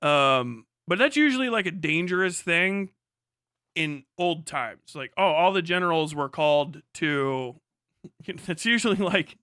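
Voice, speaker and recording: A man's voice: fairly high pitch, expressive, a little slow, bright, forceful tone, clean tone, dry recording, clean studio sound. An American man giving casual, off-the-cuff livestream commentary in a rambling, sardonic, profane way. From United States. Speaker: live streamer, political commentator.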